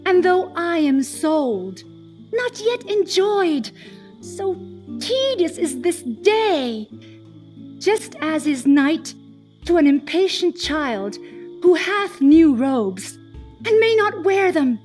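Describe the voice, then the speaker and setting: A woman performing a theatrical Shakespearean monologue as a lovestruck, impatient young heroine, proud and bold, with declamatory, drawn-out phrasing. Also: extremely high pitch, sing-song, a little mellow, faint music behind, voice pushed up, heavily acted. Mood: impatient longing.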